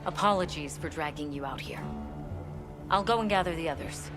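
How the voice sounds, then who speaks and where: A woman's voice: deep voice, a little fast, over music, close to an everyday voice. An actress playing a dutiful veteran female monster hunter leader in a video game, speaking with formal, resolute composure. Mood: businesslike. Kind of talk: video game cutscene voice acting.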